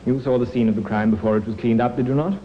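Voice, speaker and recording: A man's voice: mid-range voice, fairly even intonation, fast, natural voice. Man acting an earnest defence barrister, muffled radio. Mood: probing. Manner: formal, precise, rhetorical. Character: earnest male defence barrister, measured and persistent. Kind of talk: 1950s radio drama acting. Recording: muffled, hissy old radio recording.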